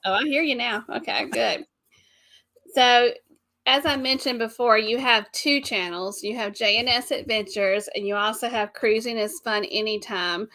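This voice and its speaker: A middle-aged woman with a drawl hosts a live stream in a bubbly way, laughing often between greetings. Her voice sits a little higher than most women's. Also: normal speed, bright, forceful tone. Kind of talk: casual live chat greeting viewers.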